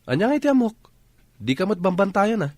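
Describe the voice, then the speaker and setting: A man's voice: sits high, very animated, a little fast. A man playing an evasive, defensive young student boyfriend in an Ilocano radio drama, with an Ilocano accent. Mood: accusing.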